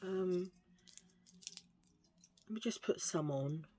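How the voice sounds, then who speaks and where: Middle-aged woman, husky lowish voice, rambly chatty haul talk, pokes fun at herself. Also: slow, dark tone. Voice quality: husky, low voice. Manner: rambling, self-mocking, chatty with fillers. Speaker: vlogger showing a thrift haul. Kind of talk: casual chatty haul video.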